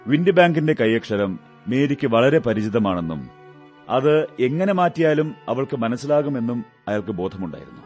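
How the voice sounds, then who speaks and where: An actor playing a shrewd, commanding male detective in a Malayalam radio drama, speaking in a measured, deliberate way. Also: mid-range voice, very animated, darkish tone, highs cut off, soft background music, natural voice. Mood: analytical.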